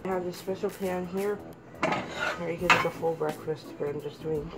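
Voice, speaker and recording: Woman in her thirties, on the low side with some fry, a little hoarse from a cold, chatting while she cooks on a kitchen-counter camera. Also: fairly even intonation, moderate pace, loud music behind the voice. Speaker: vlogger. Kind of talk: casual home cooking vlog. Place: small home kitchen.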